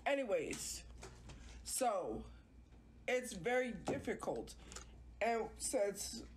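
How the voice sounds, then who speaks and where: Congested woman on a phone livestream, slow and halting, keeps restarting, faint hiss behind. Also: a little high, rough recording. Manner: rambling, hesitant, many restarts. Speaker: live streamer. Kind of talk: casual livestream chat.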